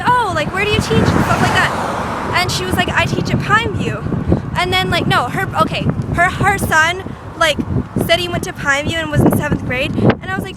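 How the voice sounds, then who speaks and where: Chatty teen girl vlogging by a busy road. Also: very high voice. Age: teens. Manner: chatty, lots of "like", self-deprecating. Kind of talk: casual rambling vlog. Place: outdoors by a bus stop on a street. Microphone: phone camera held at arm's length. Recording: loud traffic noise. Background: passing cars and trucks.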